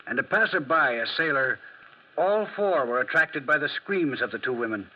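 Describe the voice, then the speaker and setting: An actor playing a composed, formal storyteller narrating a 1940s radio drama. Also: male voice, mid-range voice, expressive, lightly acted. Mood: grave, formal. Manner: formal, deliberate. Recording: muffled, hissy 1940s radio recording.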